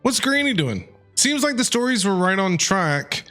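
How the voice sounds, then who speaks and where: A woman's voice: medium pitch, sing-song, normal speed, a bit bright. A woman streaming a game, giving casual, chatty, reactive commentary as she plays. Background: game music.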